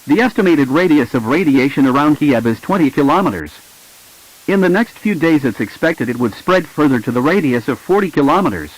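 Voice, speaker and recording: Higher-pitched man reading formal emergency alerts. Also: expressive, a little fast, poor audio. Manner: formal, impersonal delivery. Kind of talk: scripted emergency broadcast announcement.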